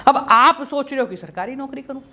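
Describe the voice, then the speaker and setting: A man in his thirties teaching an exam-prep economics class in a studio, playful and energetic, drilling key terms by repeating them. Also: very high-pitched, brisk. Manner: lively and playful, repeats key terms, gestures while talking. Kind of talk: energetic exam-prep lecture, Hindi mixed with English. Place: studio in front of a projected slide screen.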